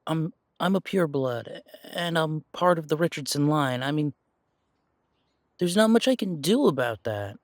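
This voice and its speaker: Male actor as a self-doubting werewolf, fairly high male voice, dry close sound, halting, stammering, defensive, intimate audio drama. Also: animated, no room sound, natural voice. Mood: agitated, defensive. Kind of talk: audio roleplay drama, one side of an intimate conversation.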